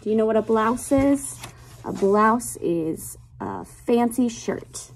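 A woman in her twenties, a teacher reading a picture book aloud to young children in a deliberate, child-directed way. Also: on the high side, animated, a little hiss or hum, poor audio. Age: 20s. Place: small classroom, indoors.

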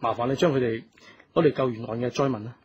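An actor playing a devoted young hero in a radio drama, speaking earnestly and emotionally with a Hong Kong Cantonese accent. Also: male voice, mid-range voice, fairly even intonation, quick pace, lo-fi sound, slight background noise, lightly acted. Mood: solemn.

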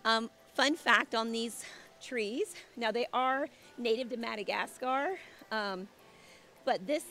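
Woman in her 30s, kinda gravelly, losing her voice, chatty garden tour outside w/ a handheld mic. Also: on the high side, slow pace, sharp tone. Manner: chatty, enthusiastic. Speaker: landscape designer. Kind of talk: casual garden walkthrough. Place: outdoor home garden.

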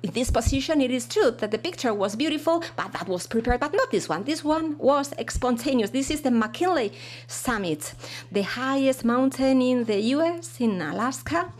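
Woman, simultaneous interpreter, high pitch, hesitant delivery with fillers. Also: animated. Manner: halting, with fillers and frequent restarts. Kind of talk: live interpretation of a keynote talk.

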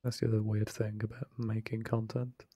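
Young man for a relaxed let's play, soft-spoken and self-deprecating, flat and mellow. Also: lowish voice, normal speed, slightly rough, dry recording. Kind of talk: casual late-night gameplay commentary. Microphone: close desk microphone, no webcam.

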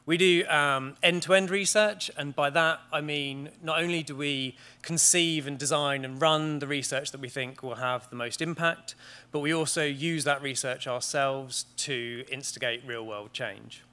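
A British man in his thirties speaks from a conference stage in a large panelled hall. His voice is bright and clear, and he talks at a measured pace, often pausing on "um" and restarting his sentences. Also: on the high side, fairly even intonation. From Great Britain. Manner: measured, with frequent ums and restarts. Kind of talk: conference presentation. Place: large wood-panelled auditorium.

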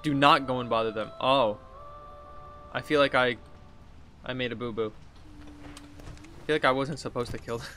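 A man's voice: medium pitch, steady tone, unhurried, long pauses, sharp tone, soft background music. A man in his thirties giving casual, rambling let's play commentary, thinking aloud as he plays. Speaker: gaming video host. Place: indoors at a computer desk.

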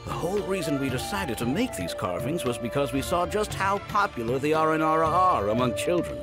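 A man's voice: a little high, big pitch swings, fairly quick. A man voicing an earnest middle-aged craftsman in a game, explaining things plainly. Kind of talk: game cutscene dialogue. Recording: loud game soundtrack music behind.

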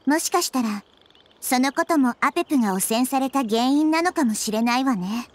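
A woman voicing a wise, gentle, childlike nature goddess in an anime game, explaining things with composure. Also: sits high, lively intonation, normal speed. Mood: thoughtful. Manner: composed, explanatory, feminine phrasing. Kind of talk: Japanese anime game voice acting.

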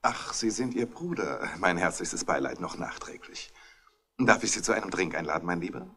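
An actor playing a snobbish society gentleman in a 1960s German radio mystery, suave and condescending. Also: low-pitched, lively intonation, moderate pace. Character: smooth, snobbish society gentleman feigning sympathy.

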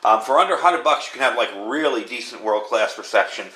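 A man's voice: mid-range voice, expressive, quick pace, bright, forceful tone, poor audio. A man giving a casual, hands-on product review, explaining things informally and without a script. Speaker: radio reviewer. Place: indoors at a workbench. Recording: clear background noise, room ambience.

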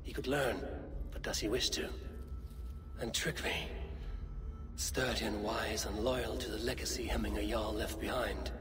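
A man playing an earnest, deliberate Viking warrior leader in a dramatic video game. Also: lowish voice, fairly even intonation, music in the background, close to an everyday voice. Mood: measured, thoughtful.

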